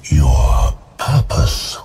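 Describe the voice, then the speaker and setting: A man voicing a cold, commanding demon lord in a fantasy audio drama, with slow, ominous, menacing pronouncements. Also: warm tone, slight background noise. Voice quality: deep, menacing. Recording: music behind the voice.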